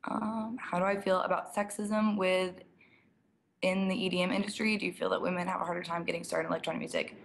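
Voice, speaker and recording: A young woman, a DJ, chatting casually through a live-stream Q&A, slightly raspy and sprinkling her talk with "like". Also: on the low side, flat delivery, quick pace. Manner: chatty, fills speech with "like" and small laughs. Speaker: DJ and producer. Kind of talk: casual live-stream Q&A, reading viewer questions.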